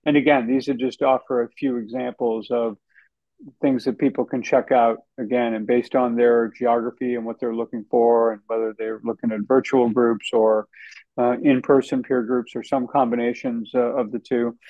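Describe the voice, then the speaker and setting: A man answers interview questions as a guest author, speaking at a middle pitch in a relaxed, conversational way with many fillers. His audio sounds a little dull and lo-fi. There is little room sound around him. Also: normal speed, dry recording.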